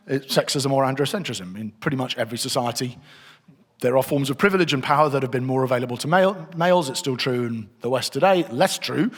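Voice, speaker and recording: A middle-aged man giving a conversational church teaching session, thinking aloud as he works through his slides. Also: mid-range voice. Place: church hall. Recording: long reverb of a hall.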